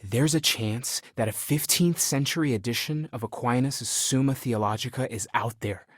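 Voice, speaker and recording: A man's voice: mid-range voice, moderate pace, no echo, clean studio sound, close to an everyday voice. A man playing an earnest, bookish young scholar and explorer in a video game, speaking in a thoughtful, conversational way. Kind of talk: video game character dialogue.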